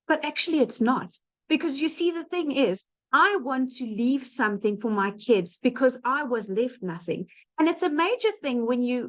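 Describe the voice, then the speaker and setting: A woman's voice: a little high, lo-fi sound. A woman in her thirties with a South African accent, candidly sharing her personal story as a guest on a video call, with frequent ums. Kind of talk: personal testimonial on a live webinar.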